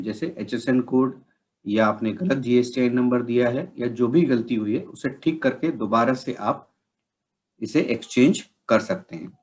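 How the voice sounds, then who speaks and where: Indian man narrating a software tutorial. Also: medium pitch. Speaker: software trainer.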